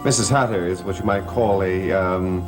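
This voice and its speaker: Deep-ish, brooding manor lord played by an actor. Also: male voice, fairly even intonation, moderate pace, close to an everyday voice. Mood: courteous, guarded. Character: brooding, courteous master of a gothic manor. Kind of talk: gothic television soap opera acting. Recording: old TV soundtrack with organ music.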